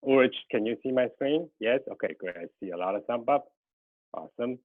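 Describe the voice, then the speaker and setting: A man's voice: mid-range voice, expressive, fairly quick, dry recording. A man in his thirties from Taiwan whose first language is Mandarin, giving a guest lecture on a video call, hesitant with many ums and restarts. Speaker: guest lecturer, graphic designer. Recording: tinny dry video-call audio.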